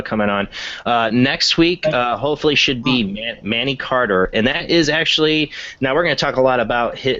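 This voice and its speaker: A man's voice: on the low side, fairly bright tone, lo-fi sound. A man casually hosting a paranormal call-in podcast, talking in a rambling, informal and repetitive way. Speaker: host of a paranormal call-in show.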